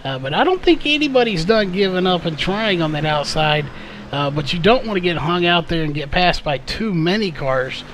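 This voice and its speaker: A man's voice: high voice, lively intonation, normal speed, a bit bright, a little hiss or hum, rough recording. A man doing live play-by-play race commentary, full of fillers and self-corrections, his voice a little worn. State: slightly losing his voice.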